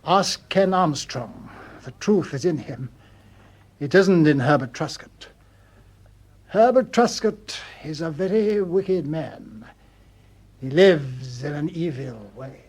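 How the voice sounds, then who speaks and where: An actor playing an older colonel in a radio detective drama, stiff and moralizing, with solemn, repetitive pronouncements. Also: male voice, a little high, expressive, takes it slowly, a little mellow, breathy edge, full character voice. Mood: solemn, fixated.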